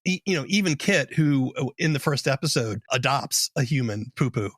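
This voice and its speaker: A man's voice: mid-range voice, animated, moderate pace, breathy edge, no echo, clean studio sound. A man hosting an interview podcast, speaking in an articulate, analytical way as he sums up and comments. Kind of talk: conversational interview with prepared summaries.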